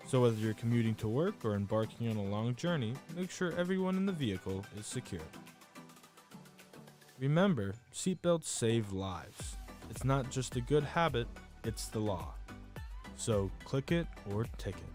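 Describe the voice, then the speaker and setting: A man's voice: medium pitch, lively intonation, normal speed, darkish tone. A man reading a scripted public service announcement about safety as an announcer. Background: light music bed.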